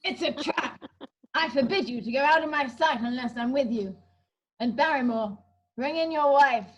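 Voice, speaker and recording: A bossy, suspicious gentleman sidekick played by a woman in a comic radio play. The voice is very high and comes across as imperious and emphatic. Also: moderate pace.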